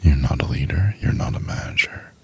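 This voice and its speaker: A man playing a cold, domineering, contemptuous chief executive in an ASMR roleplay, speaking in a husky, condescending, deliberate half-whisper. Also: a bass voice, expressive, dark tone, heavily acted. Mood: commanding, demeaning. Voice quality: husky, gravelly. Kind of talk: intimate ASMR roleplay, acted monologue.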